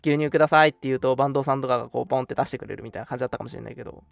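A man's voice: mid-range voice, steady tone, racing, gravelly, soft background music. A young man hosting a casual radio chat, talking to his co-host and listeners in a conversational, explanatory way. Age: young adult.

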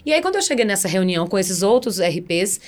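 A woman's voice: medium pitch, quick pace. A woman in her forties with a Bahian Brazilian accent, telling a personal story conversationally and acting out the dialogue as she goes. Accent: Bahian Brazilian Portuguese. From Salvador, Bahia, Brazil. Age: 40s. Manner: animated, acts out quoted dialogue. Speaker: podcast guest, singer and event promoter.

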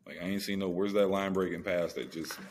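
A man's voice: low voice, steady tone, fairly quick, slight background noise. A man co-hosting a sports podcast, chatting casually and slangily about football, repeating phrases for emphasis.

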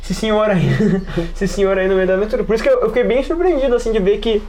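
A Brazilian man with a fairly high voice talks very quickly in a casual podcast discussion. He rambles loosely and drops in slang, over clear background noise. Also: a little mellow. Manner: rambling, peppered with slang and filler tags. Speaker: podcast panelist. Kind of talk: casual chat about tabletop role-playing.

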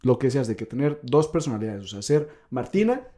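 Fast-talking Mexican man, slangy host. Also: medium pitch, very animated, dark tone. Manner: rambling, slangy, many fillers like "o sea". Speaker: podcast host. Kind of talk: casual podcast conversation.

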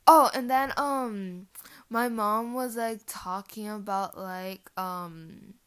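A woman's voice: mid-range voice, slow, fairly bright tone, smooth. A teenage girl whose first language is Portuguese, hosting her podcast with rambling, giggly casual chat full of fillers. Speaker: podcast host.

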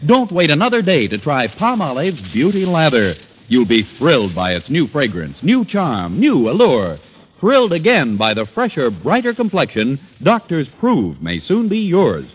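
A man's voice: fairly high pitch, huge pitch swings, moderate pace, breathy edge. An American man announcing a vintage radio commercial with emphatic, enthusiastic salesmanship. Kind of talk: 1940s-50s radio advertising pitch. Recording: muffled vintage radio recording, faint noise.

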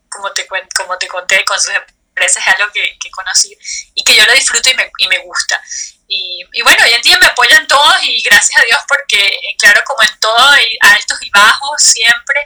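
A woman from Venezuela, an entrepreneur, answering interview questions in a soft, hesitant way, searching for words. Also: mid-range voice, low-quality recording. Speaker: guest entrepreneur.

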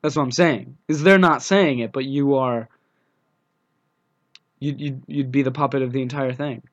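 A young man with a scratchy, cold-roughened voice talks casually as a podcast co-host. His humor is dry and deadpan, and his voice sits at a middling pitch. He comes through a dull, muffled phone line. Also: normal speed. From United States. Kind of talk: casual chat.

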